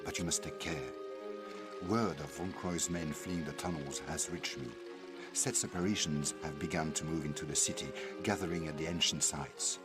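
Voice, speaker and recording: An actor playing an earnest, worried French archaeologist ally in a video game, with a French accent and a grave, deliberate delivery. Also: male voice, extremely low pitch. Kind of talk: video game cutscene acting. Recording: music behind dialogue.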